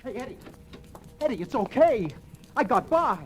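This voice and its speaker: Excitable, high-pitched office pal. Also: male voice, normal speed. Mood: elated, relieved. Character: relieved, excitable male office pal of the clerk. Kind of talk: 1940s radio drama acting. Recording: old radio recording with music.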